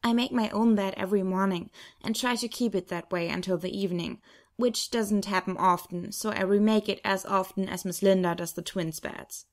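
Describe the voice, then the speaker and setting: A woman narrating a first-person novel as an audiobook reader, in an expressive storytelling manner. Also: mid-range voice, normal speed.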